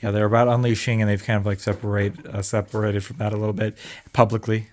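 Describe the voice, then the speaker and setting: A man's voice: fairly low pitch, level tone, quick pace, darkish tone. A man running a tabletop game, casually stepping in to explain and correct details. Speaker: game master correcting the recap.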